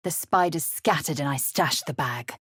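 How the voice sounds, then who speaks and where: A woman playing a fierce, haughty young alien warrior in a video game, with a clipped, stern and disdainful delivery and a husky voice. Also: low-pitched, moderate pace, a bit bright, dry recording, studio quality. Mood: stern, measured. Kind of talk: video game character lines and narration.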